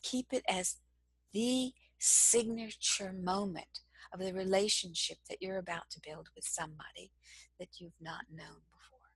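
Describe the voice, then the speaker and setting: A woman with a soft voice giving a slow, measured, gentle lesson on communication as a trainer. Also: mid-range voice, fairly even intonation, breathy edge, no room sound, rough recording. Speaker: cross-cultural communication trainer. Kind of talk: instructional lesson to camera.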